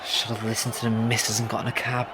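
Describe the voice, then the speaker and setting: A man's voice: fairly low pitch, completely flat delivery, fast, a crowd in the background, natural voice. A man acting an ordinary, down-to-earth, grumbling bloke out on a noisy street in an audio drama. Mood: weary grumbling. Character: Craig, grumbling ordinary working man walking home, expectant father. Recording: noisy outdoor street ambience.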